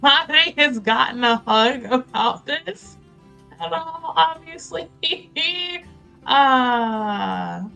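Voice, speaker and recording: A woman roleplaying in a tabletop game, speaking haltingly with casual asides. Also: high voice, animated, vocal fry, sharp tone, faint music behind, rough recording.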